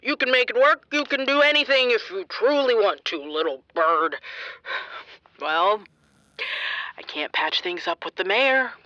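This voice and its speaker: A woman's voice: a little high, a little slow, pushed and bright, dry recording, muffled recording. A woman playing a troubled, self-doubting young radio interviewer in a naturalistic audio drama. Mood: tipsy, despondent. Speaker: radio reporter.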